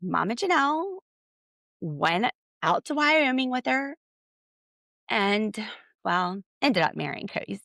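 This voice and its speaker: A woman's voice: on the high side, slow pace, vocal fry, long pauses, no echo, studio quality. A woman hosting a podcast and recapping reality TV with sassy, snarky commentary. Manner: snarky, sassy, animated asides. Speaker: podcast host.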